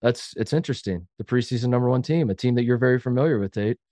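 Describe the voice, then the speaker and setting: A man's voice: medium pitch, fast, warm tone, no echo. A man with a head cold and a nasal voice, co-hosting a casual sports talk podcast in a rambling, self-deprecating way. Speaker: podcast co-host.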